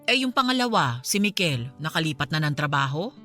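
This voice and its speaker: A woman's voice: deep voice, sing-song, fairly quick, bright, forceful tone, faint music behind, heavily acted. A woman playing a suspicious middle-aged mother chatting conversationally in a radio drama, with a Filipino accent. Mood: chatty.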